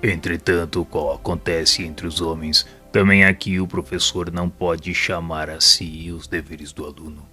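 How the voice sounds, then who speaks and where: An actor playing a solemn, repentant spirit mentor in an audio drama, speaking in a grave, formal and measured way. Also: male voice, deep voice, bright, forceful tone. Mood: grave, humble. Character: solemn, repentant male spirit assistant and mentor, a former doctor. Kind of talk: audio drama dialogue, formal literary monologue.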